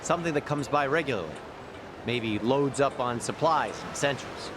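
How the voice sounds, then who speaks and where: A man's voice: medium pitch, normal speed, noisy background, close to an everyday voice. An actor playing a streetwise, casual male sidekick, sceptical but helpful, in a video game. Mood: frank, casual.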